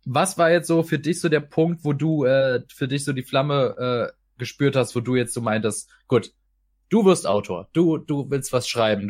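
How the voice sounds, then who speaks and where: Male podcast host, casual and wordy, mid-pitched voice, dry recording. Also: no echo. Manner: rambling, wordy. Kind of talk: casual talk.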